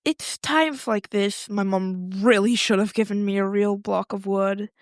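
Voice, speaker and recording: A woman's voice: mid-range voice, steady tone, unhurried, no echo, clean studio sound, lightly acted. A woman playing an anxious, squeamish, kind-hearted girl in an audio drama, rambling and hesitant as she blurts out questions. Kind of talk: audio fiction acting, naturalistic.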